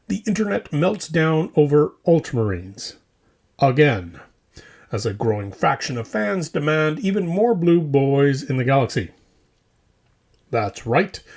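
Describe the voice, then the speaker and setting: A man's voice: mid-range voice, a little mellow. A man hosting a scripted mock news show, delivering it like a theatrical, mock-serious newscaster. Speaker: host of a hobby news show.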